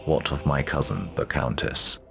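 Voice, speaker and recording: An actor playing a stately, authoritative noble ruler in a fantasy game, speaking formally and regally. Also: male voice, a bass voice, moderate pace, creaky, fairly bright tone, faint music behind, heavily acted. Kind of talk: fantasy video game cutscene acting.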